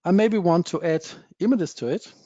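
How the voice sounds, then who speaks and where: Man giving a software demo. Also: high-pitched, normal speed, no room sound. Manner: plain explanatory talk with frequent uh fillers, non-native phrasing. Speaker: software presenter. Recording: dull dry webinar audio.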